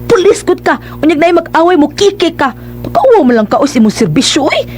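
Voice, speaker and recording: A woman's voice: extremely high pitch, very animated, fairly quick, a little mellow, a little hiss or hum. A woman playing an exasperated, nagging wife in a Cebuano radio drama, scolding and complaining. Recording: music behind the voice.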